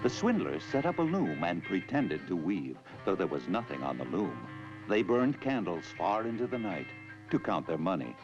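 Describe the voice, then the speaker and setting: A man's voice: sits low, sing-song, moderate pace. A man narrating a scripted fairy tale as a theatrical storyteller. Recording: dull lo-fi old tape, music behind.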